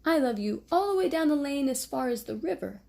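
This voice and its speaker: A woman's voice: high voice, animated, brisk, creaky, a little mellow, voice pushed up. A woman voicing a small young male hare in a bedtime storybook, full of childlike affection and sleepy wonder. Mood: eager, loving.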